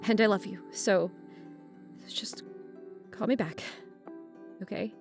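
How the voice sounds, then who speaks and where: A woman's voice: medium pitch, slow pace, soft background music. A woman playing a distraught, suspicious, hot-tempered young stepdaughter in an audio drama, emotionally raw and confrontational. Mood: tearful pleading.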